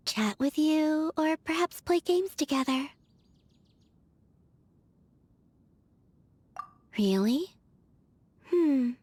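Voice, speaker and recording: An actress voicing a soft-spoken, aloof young woman in a game, with a breathy, whispery manner and slow, languid delivery. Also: high-pitched. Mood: gentle. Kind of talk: video game character voice lines.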